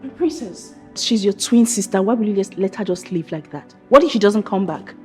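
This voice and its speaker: A woman with a husky voice and a Nigerian accent, playing an outspoken, loyal attendant to a princess in a screen drama. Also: mid-range voice, lively intonation, normal speed, mellow, soft background music, close to an everyday voice. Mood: reproving. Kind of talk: emotive screen drama acting.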